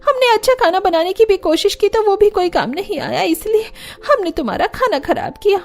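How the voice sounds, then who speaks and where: An elder daughter-in-law from a cartoon family drama, acted by a woman, jealous yet remorseful. She speaks plainly and earnestly in a high voice with music behind her. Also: lively intonation, fairly quick.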